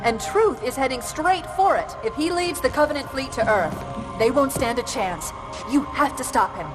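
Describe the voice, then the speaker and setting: A resolute female AI gives a brisk, urgent briefing in a game cinematic. The voice is fairly high and clever, with music clearly behind it. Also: animated. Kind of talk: video game cinematic acting.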